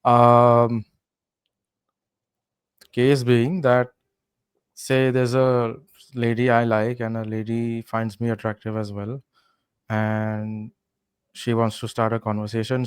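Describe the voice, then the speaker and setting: A young man from India, a podcast guest, telling travel stories in relaxed casual chat with many fillers. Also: fairly low pitch, smooth, dry recording.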